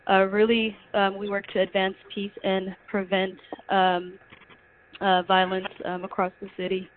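A woman from Somalia gives an earnest, measured introduction over a phone line. Her intonation stays quite even, and a faint hiss sits behind her voice. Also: mid-range voice. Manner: earnest, measured, with soft fillers. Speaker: city official guest. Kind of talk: self-introduction on a call.

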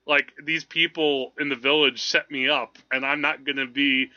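A man hosting a film podcast, chatting casually in a rambling, halting way as he thinks aloud. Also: medium pitch, fairly even intonation, bright, forceful tone, highs cut off. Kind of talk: casual movie discussion.

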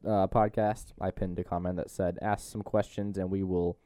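A man's voice: sits low, level tone, normal speed, warm tone, no echo. A young man hosting a podcast in casual, joking chat. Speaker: podcast host.

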